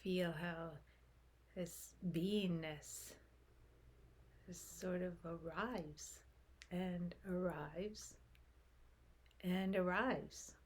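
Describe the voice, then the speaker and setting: A woman leading a guided meditation in a soft, breathy voice, speaking gently in short phrases with long pauses. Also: on the low side, fairly even intonation. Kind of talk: guided meditation, slow unfolding instruction.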